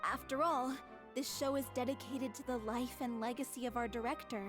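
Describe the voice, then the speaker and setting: A woman's voice: fairly high pitch, steady tone, fairly quick, close to an everyday voice. A woman voicing a polite, earnest theatre company member in an anime-style game. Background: prominent background music.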